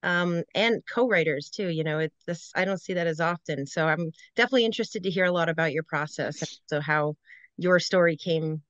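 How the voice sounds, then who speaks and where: A woman's voice: lowish voice, fairly bright tone, no room sound. A young woman chatting casually as an interviewer over a video call. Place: room at home with shelves, indoors. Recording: dull, muffled video call audio.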